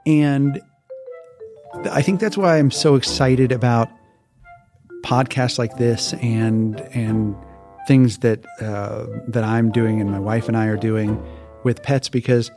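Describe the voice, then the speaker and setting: A man from Hawaii, a podcast guest telling relaxed, casual stories and laughing easily. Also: mid-range voice, slow pace, music in the background. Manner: relaxed, laughs often, restarts words. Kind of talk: casual interview storytelling.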